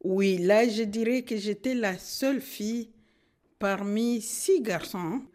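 A middle-aged woman from Congo, a Kinande speaker, answering in a radio interview in an earnest, measured way. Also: medium pitch, darkish tone. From Democratic Republic of the Congo. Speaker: social worker and guest.

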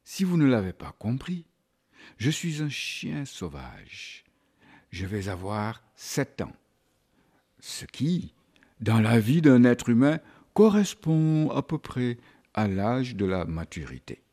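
Casting : homme prêtant sa voix à un chien errant fier, lecture lente, ton mesuré. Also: male voice, medium pitch, sing-song, mellow, slightly rough, exaggerated voice. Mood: calme. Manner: slow, measured reading. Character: stray male dog narrator, nearly seven, free and untamed. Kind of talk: reading a novel excerpt aloud.

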